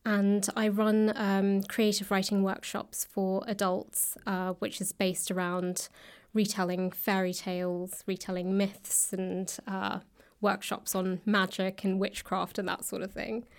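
A woman's voice: medium pitch, flat delivery, unhurried, smooth. A woman chatting as an interview guest, explaining things hesitantly and rephrasing as she goes. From south London, England. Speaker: podcast guest, writer and actor. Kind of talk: casual conversational interview.

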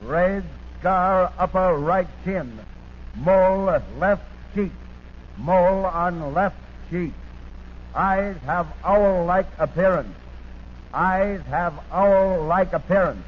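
A man reading a scripted 1940s radio police bulletin in deliberate, clipped items. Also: high-pitched. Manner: deliberate, clipped listing with repetition. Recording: tinny noisy 1940s radio recording.

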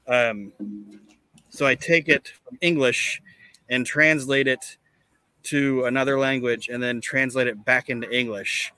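Guy hosting a comedy podcast, kinda deadpan, bright voice, takes his time. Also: medium pitch, measured pace, clean tone, no room sound, rough recording. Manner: deadpan, monotone when reading. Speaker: podcast host. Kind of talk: casual comedic podcast chat with mock recitation.

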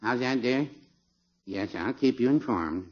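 Mild, dithering old shopkeeper, lively intonation. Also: male voice, medium pitch, unhurried. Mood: unhurried. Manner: placid, literal-minded, folksy, hesitant. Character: mild, unhurried, dithering old small-town druggist. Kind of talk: 1950s radio comedy acting. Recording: muffled, noisy old radio recording.

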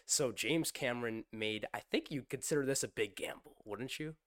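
A young man co-hosting a podcast in casual chat, slightly hoarse from a sore throat, joking and quizzing his co-host. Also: medium pitch, normal speed, fairly bright tone, dry recording, clean studio sound. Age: young adult. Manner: chatty, joking, asks his co-host questions.

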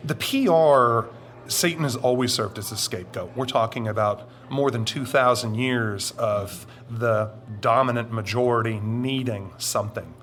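A middle-aged man with a deep voice, answering interview questions in a deliberate, explanatory way with drawn-out emphatic phrases. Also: a bit bright, slight background noise. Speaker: interview guest.

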